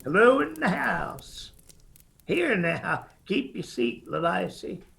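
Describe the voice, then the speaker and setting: A man's voice: high-pitched, big pitch swings, slow pace, vocal fry, bright, forceful tone, soft background music, exaggerated voice. An actor playing a sly, menacing older feuding mountain man in a radio drama, with a taunting, folksy Appalachian drawl. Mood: folksy, sociable.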